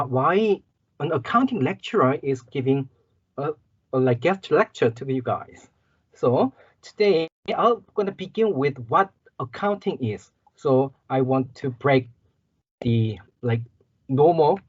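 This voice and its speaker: A man's voice: fairly high pitch, slightly rough, no echo, highs cut off. A man from South Korea with a Korean accent, giving a guest lecture as a university lecturer and explaining each term step by step. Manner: explanatory, repeats key terms, frequent "okay" and "so".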